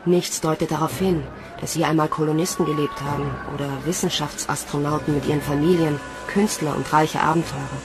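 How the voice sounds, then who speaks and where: A woman's voice: sits low, steady tone, brisk, hardly any pauses, over music, lightly acted. A woman playing a stubborn but insecure young female recruit in a German science fiction radio drama. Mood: brooding.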